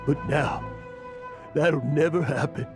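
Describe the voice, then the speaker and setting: A man playing an aging adventurer in a video game, boastful yet guilt-ridden, with a halting, dramatic delivery. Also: a little high. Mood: grieving. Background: music.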